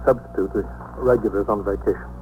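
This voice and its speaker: An actor playing a plain-spoken, defensive working-class bartender in a 1950s radio police drama. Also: male voice, lowish voice, fairly even intonation, fast, darkish tone, lightly acted. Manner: plain-spoken, clipped, put-upon. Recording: very noisy old radio recording.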